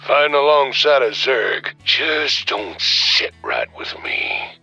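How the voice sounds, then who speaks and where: A man voicing a gruff, grudging outlaw space marine in a video game, gravelly and drawling. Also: medium pitch, wildly swooping pitch, takes it slowly, bright, forceful tone, muffled recording, faint music behind, heavily acted. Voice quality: gravelly, rough.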